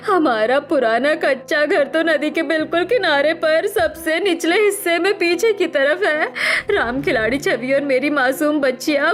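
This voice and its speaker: A woman's voice: high voice, fairly bright tone. A woman voicing a worried, doting elderly mother-in-law in a cartoon story, with a pleading, wailing delivery. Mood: tearful pleading.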